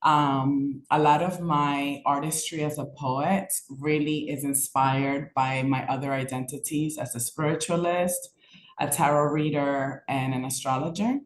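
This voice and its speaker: A woman poet introduces herself on an artist panel, joining by video call. She talks in a measured way, often pausing on ums. Her call audio sounds roomy, with a faint hiss behind her. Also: sits low, fairly even intonation. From New York.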